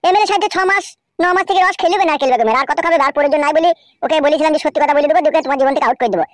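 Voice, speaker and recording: A performer plays a boastful male schemer in a village comedy cartoon. He is bossy and crude, with a high, rapid voice in dry sound. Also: no echo, natural voice. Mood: smug, bossy. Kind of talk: amateur Bengali comedy cartoon dialogue.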